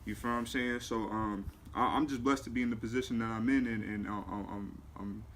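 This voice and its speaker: A man's voice: mid-range voice, fairly even intonation, fairly quick, a bit bright. A man in his early twenties, a music manager, talking casually in an interview and leaning on fillers like "you know what I'm saying". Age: 20s. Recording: faint background noise.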